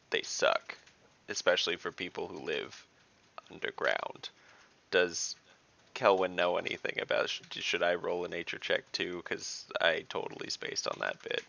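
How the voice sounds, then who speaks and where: Deep, gravelly man with a bright edge. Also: muffled recording. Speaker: tabletop game player. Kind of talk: casual in-game discussion. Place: indoors, online voice call.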